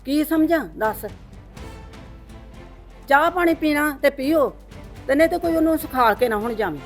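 A woman playing a stubborn middle-aged mother-in-law in a family drama skit, talking in a sharp and dismissive way. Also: sits high, animated, normal speed, faint music behind. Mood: scolding.

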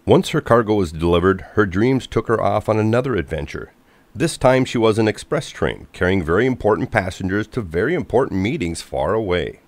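A man reads a children's storybook in a low voice. His narration is expressive and animated, with wide pitch movement, in a close, dry recording. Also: a little fast, no echo.

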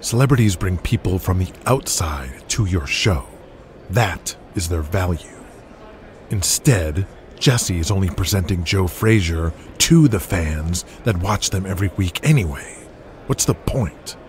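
This is a ruthless, domineering wrestling promoter, played by a man in an audio drama. He talks in a cold, controlling, lecturing way, with a very deep voice. Faint noise sits under the recording. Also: expressive, a little slow.

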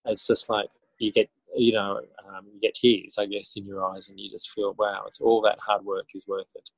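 An Australian man with a lowish voice talks as an interview guest. He is reflective and conversational, pausing and rephrasing as he goes. The sound is fairly dry. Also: little pitch movement, gravelly, slightly rough, no room sound, poor audio. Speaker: interview guest, author and teacher. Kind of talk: conversational interview answers, telling his story.

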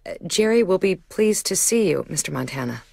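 Polite flustered bank teller in a game, voice goes up and down, dry sound. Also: female voice, lowish voice, expressive, brisk, gravelly, a little mellow, no echo, exaggerated voice. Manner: courteous, hesitant. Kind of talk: video game cutscene acting.

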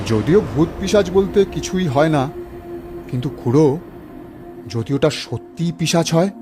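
Man playing a higher-voiced, uneasy young guy. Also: lively intonation, unhurried. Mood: wary, uneasy. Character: wary, sceptical young male friend, frightened. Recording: music behind the voice.

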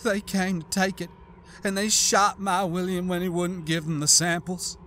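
A man's voice: high-pitched, unhurried, slight background noise, voice pushed up. Man voicing a grief-mad woman scientist. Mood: grieving. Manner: cold, snarling, breaking into shouts.

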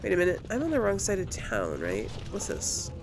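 A woman doing casual gameplay commentary. She thinks aloud chattily, with lively pitch and frequent fry, over faint background noise. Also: moderate pace. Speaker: let's play host.

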